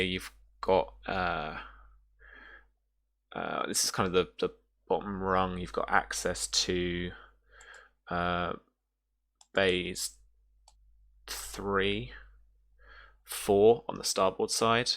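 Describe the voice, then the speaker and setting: A man narrating a tabletop role-playing game as game master in an improvised, halting way, pausing to find his words. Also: low voice, fairly even intonation, vocal fry, fairly bright tone.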